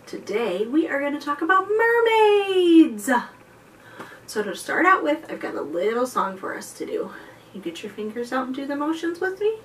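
A woman in her thirties hosting a children's storytime, presenting and reading aloud expressively and deliberately for small children. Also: high-pitched, very animated. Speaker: children's librarian, storytime host. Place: indoor room before a chalkboard wall. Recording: distant mic, faint background noise.

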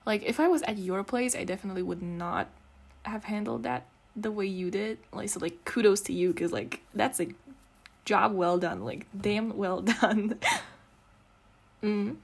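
A woman playing a giggly, teasing, affectionate girlfriend in an intimate ASMR roleplay, non-native in English, with soft, casual, rambling talk. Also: medium pitch, measured pace, gravelly, breathy edge. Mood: fond, teasing. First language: not English. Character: giggly, teasing, affectionate gamer girlfriend comforting her partner.

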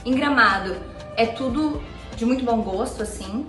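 A woman's voice: on the high side, moderate pace, a bit bright, reverberant, distant mic, faint music behind. Young woman with a gaúcho accent. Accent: southern Brazilian, gaúcho. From Brazil. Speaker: guest. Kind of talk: casual interview chat. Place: indoor living room on a sofa. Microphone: handheld mic from a few feet.

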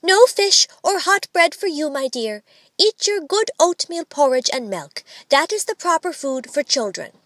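A prim little storybook girl played by a woman reader acts the strict mother. She lectures gravely and bossily in a high, raised voice, without hurrying. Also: animated, a little slow, fairly bright tone, dry recording. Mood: grave, scolding.

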